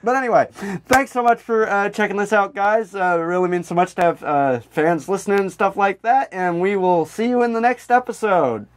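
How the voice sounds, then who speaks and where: A man with a slightly high voice co-hosts a casual comedy podcast. He rambles along loosely, joking and cursing as he goes, in an informal chat style. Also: a little fast. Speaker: podcast co-host. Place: small room in a house.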